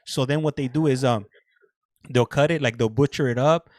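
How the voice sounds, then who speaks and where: A man's voice: medium pitch, normal speed, no room sound, studio quality. A Mexican American man chatting casually on a video call, laughing often, stumbling over repeated words and slipping Spanish into his English. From Southern California. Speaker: guest on a prison video call. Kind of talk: casual chat.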